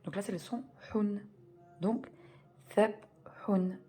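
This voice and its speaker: A woman's voice: mid-range voice, takes it slowly, warm tone, soft background music. A woman teaching a beginners' reading lesson, patiently spelling out sounds step by step and repeating key words. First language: French.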